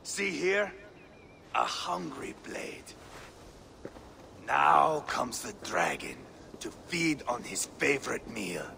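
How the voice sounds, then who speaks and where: An actor playing a gleefully cruel, vengeful Viking warrior in a video game, theatrical and taunting. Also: male voice, medium pitch, sing-song, vocal fry, bright, forceful tone, a little hiss or hum, exaggerated voice. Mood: cruel relish. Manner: theatrical, taunting, savouring slow delivery. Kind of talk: dramatic video game acting.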